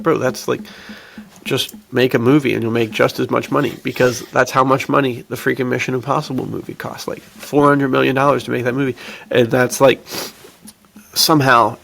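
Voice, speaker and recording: A man hosts a casual discussion podcast in an informal, slangy way. His voice sits at an average pitch for a man and carries a strong creak. He talks like he is chatting with a buddy. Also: a bit bright. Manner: informal slangy talk, says dude and like often. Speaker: podcast host and adventure fiction writer. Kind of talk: casual film discussion chat.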